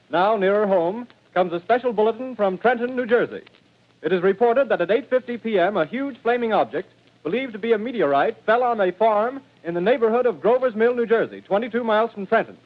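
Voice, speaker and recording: Formal news announcer played by a man, high and fast, thin phone-like radio sound. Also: close to an everyday voice. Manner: formal, brisk bulletin reading. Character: crisp male studio news announcer. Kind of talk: 1930s radio drama acting. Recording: tinny old radio broadcast.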